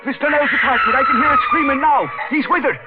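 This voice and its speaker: A frantic old man in an old radio thriller, his voice extremely high with fright. The recording is muffled, with loud music behind him. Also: expressive, quick pace. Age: older. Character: panicky, frightened old man phoning police. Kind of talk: 1940s radio melodrama.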